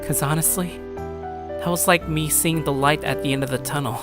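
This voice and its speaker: A man playing a troubled, earnest high school boy who narrates his own story in a sincere, brooding way. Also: fairly even intonation, normal speed, over loud music, close to an everyday voice. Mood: pleading, earnest. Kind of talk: scripted dramatic narration and character dialogue.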